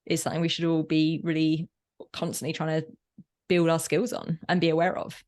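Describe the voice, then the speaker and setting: A woman's voice: low-pitched, level tone, fairly quick, darkish tone. A woman speaking as an interview guest in a casual, discursive way, often rephrasing mid-sentence. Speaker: podcast guest, workplace learning facilitator.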